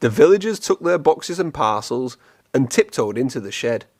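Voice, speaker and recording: A man reading a children's storybook aloud in an expressive, clearly phrased storytelling manner. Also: medium pitch, normal speed. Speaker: storybook reader.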